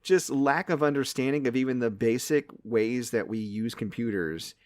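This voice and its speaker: A man's voice: medium pitch, normal speed, no echo. A man in his late thirties chatting casually about movies as a podcast co-host. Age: late 30s.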